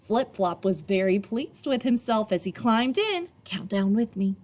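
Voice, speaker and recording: A woman reading a picture book aloud to children expressively, in a teacherly way, pausing for young listeners. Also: medium pitch, sing-song, darkish tone.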